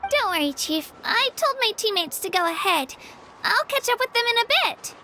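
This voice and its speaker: Young girl apprentice warrior, extremely high, bright, eager, sweet, earnest game character. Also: very animated, moderate pace, a little hiss or hum, full character voice. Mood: reassuring. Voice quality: girlish. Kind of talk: video game voice acting.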